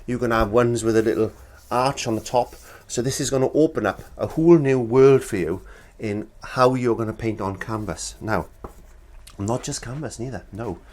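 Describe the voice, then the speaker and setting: A man's voice: medium pitch, normal speed, darkish tone. A middle-aged man teaching an art lesson in his small studio, sounding congested from a cold and talking in a chatty, rambling way with many restarts. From Wales. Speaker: art instructor. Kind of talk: casual demonstration lesson.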